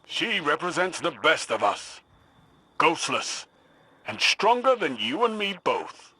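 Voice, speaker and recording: An actor playing a grandiose, dry-humoured warlord and battle veteran in a video game, speaking in weighty, declamatory phrases. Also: male voice, fairly high pitch, very animated, slow pace, pushed and bright, slightly rough, full character voice. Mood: solemn, proud. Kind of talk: video game cutscene dialogue.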